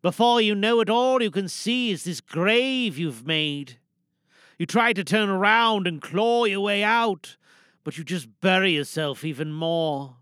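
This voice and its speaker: World-weary pirate villain seeking redemption, played by a man, higher-pitched, clear, deliberate and pleading, for an audio drama monologue. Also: expressive, a bit bright, no echo, clean studio sound. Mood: bitter regret.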